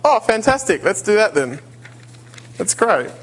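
A man's voice: sits high, big pitch swings, measured pace, a bit bright, husky, rough recording. Hesitant male preacher in a church. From Australia. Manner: hesitant, full of fillers and restarts. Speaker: visiting preacher. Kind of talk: sermon. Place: church sanctuary. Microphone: handheld or stand mic.

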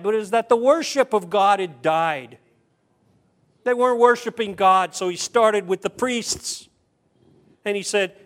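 A man's voice: sits high, a bit bright. A male preacher from the American South speaks. He delivers his sermon conversationally, posing rhetorical questions and circling back over key phrases to drive them in. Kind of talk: sermon with scripture reading.

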